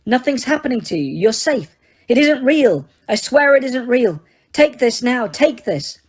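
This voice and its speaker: A woman voicing a frightened teenage boy wizard, urgently and desperately coaxing someone to drink, a little higher than her own voice. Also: very animated, a little slow, a little mellow, slightly rough, full character voice.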